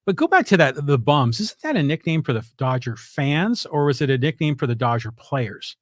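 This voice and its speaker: An American man co-hosts a casual sports chat in a conversational, questioning way. His voice is a bit higher than usual for a man, and the sound is very dry. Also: sing-song, moderate pace, dry recording. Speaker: co-host reading listener questions.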